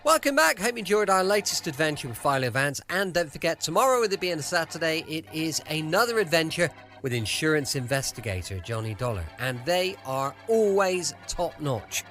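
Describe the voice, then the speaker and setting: Higher-voiced male host, animated delivery. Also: brisk. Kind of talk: friendly podcast hosting. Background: music.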